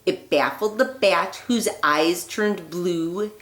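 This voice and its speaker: Lady teacher doing storytime for little kids, lowish voice, takes it slow, roomy echo. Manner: clear, deliberate, expressive phrasing with emphasis on rhymes. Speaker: teacher reading a picture book aloud. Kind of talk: read-aloud storytelling for young children. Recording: echoey room.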